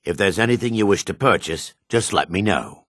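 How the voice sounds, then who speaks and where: Fantasy game dark elf, deep-voiced, shifty and greedy merchant, raspy, brusque delivery with lively swings. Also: male voice, fairly quick, no room sound, studio quality. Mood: sly salesmanship. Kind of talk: fantasy video game voice acting.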